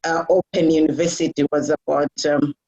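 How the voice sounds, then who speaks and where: A woman's voice: low voice, level tone, normal speed, dark tone. A middle-aged woman from Zimbabwe being interviewed, talking with many fillers and restarts. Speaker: guest. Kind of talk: casual interview talk. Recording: dull lo-fi audio.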